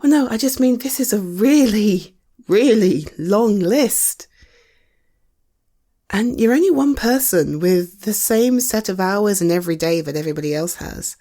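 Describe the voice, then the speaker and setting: A British woman playing a caring, supportive partner in an intimate conversational audio roleplay, speaking softly with a gentle, hesitant, coaxing manner. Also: medium pitch, animated, measured pace. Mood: gently cautioning.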